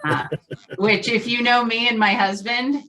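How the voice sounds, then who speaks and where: A woman's voice: a little high, fairly even intonation, a little slow, a loud crowd. A woman who is an interview guest from the lending business, chatting casually and telling personal stories. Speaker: interview guest, loan officer. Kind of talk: casual chat, personal storytelling.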